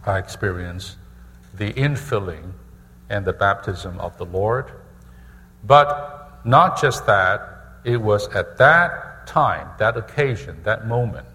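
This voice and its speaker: Middle-aged male pastor, deliberate sermon delivery with repeated phrases, long hall reverb. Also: on the low side, a bit bright. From Hong Kong. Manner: measured, repeats phrases for emphasis. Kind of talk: sermon testimony.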